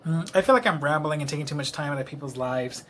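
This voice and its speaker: A man's voice: on the high side, fast, a bit bright, slight background noise. A man in his late twenties from Texas, rambling to camera in his apartment, self-deprecating and a little tipsy, with lots of fillers. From Dallas, Texas. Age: late 20s. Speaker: vlogger. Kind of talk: rambling casual monologue to camera. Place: his own apartment, indoors. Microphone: camera close-up.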